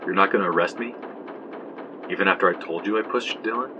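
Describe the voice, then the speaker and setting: An actor playing a haunted, fatalistic young man telling his story gravely in an audio drama. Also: low-pitched, fast talker, natural voice. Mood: bleak, ominous. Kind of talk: dramatic first-person narration, audio drama. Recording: clear ambient noise behind.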